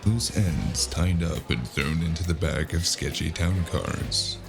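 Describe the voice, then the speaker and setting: Man playing a world-weary hard-drinking detective, very low voice, slow brooding delivery, cynical, noir audio drama, music underneath. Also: exaggerated voice. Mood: grim, weary. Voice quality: gravelly. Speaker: audio drama narrator and lead actor. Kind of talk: noir detective monologue, scripted narration.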